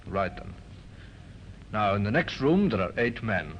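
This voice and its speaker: A firm police inspector, muffled old radio. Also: male voice, on the low side, big pitch swings, a bit bright. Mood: grave, instructing. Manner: measured, authoritative, formal. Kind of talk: 1950s radio crime drama acting. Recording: muffled, noisy 1950s radio recording.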